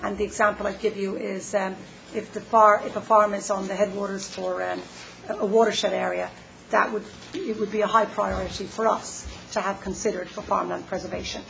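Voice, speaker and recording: A woman presenting planning work at a public meeting, measured and hesitant with many fillers. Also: medium pitch, level tone, vocal fry, fairly bright tone, a little hiss or hum, poor audio.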